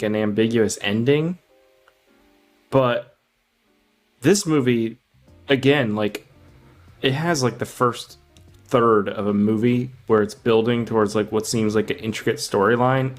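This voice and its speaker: A man co-hosting a film podcast in casual, conversational discussion. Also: medium pitch.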